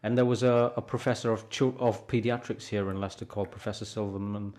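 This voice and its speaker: A middle-aged man, a hospital consultant, explaining with measured good humour in a radio interview. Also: fairly low pitch, steady tone, darkish tone. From born in London, grew up in North India. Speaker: hospital consultant doctor, guest.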